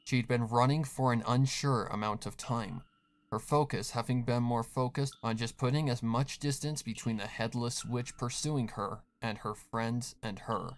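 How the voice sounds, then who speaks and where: A man's voice: mid-range voice, steady tone, normal speed. A man reading scripted third-person horror fiction as the narrator.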